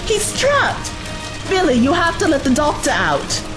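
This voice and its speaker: An anxious, alert young female sidekick played by an actress in an audio drama. Her high voice swings widely and urgently over a loud music bed. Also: huge pitch swings, measured pace, exaggerated voice. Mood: alarmed. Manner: urgent, exclamatory.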